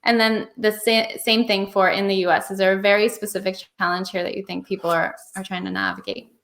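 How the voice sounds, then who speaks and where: A woman's voice: mid-range voice, steady tone, a little fast, a bit bright. A woman hosting a podcast interview, chatty, laughing easily and rephrasing her questions as she goes. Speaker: podcast host.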